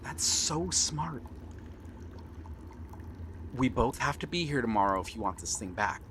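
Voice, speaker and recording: Clear water ambience surrounds an eager young pirate prince, acted by a man. He speaks slowly and earnestly in a medium-pitched voice, pausing often. The delivery is gentle and friendly, in the style of an ASMR fantasy roleplay. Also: animated, a little hiss or hum. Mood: reassuring, pleased. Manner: earnest, gentle, unhurried talk with pauses. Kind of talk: ASMR roleplay acting, one-sided dialogue. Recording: water and ambient sound effects behind. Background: seaside ambience, water sounds.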